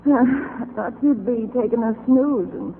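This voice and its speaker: A woman's voice: a little high, slow. An actress playing a weary, friendly working-class cleaning woman with a folksy, chatty manner in 1940s radio drama. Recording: tinny, faintly noisy old radio recording.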